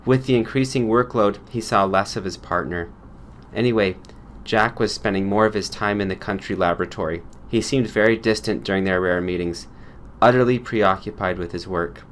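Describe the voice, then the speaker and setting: A man's voice: fairly low pitch, normal speed, slight background noise. A man reading a scripted story as an audiobook narrator. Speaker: audiobook reader.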